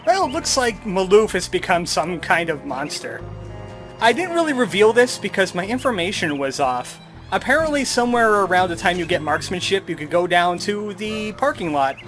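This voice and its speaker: A man's voice: sits high, fairly bright tone. A man giving casual commentary as he plays through a video game. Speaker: let's player.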